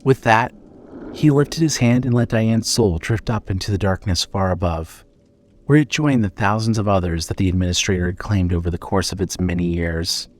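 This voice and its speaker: Low-voiced male horror narrator. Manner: measured, dramatic storytelling. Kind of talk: scripted horror audio drama narration.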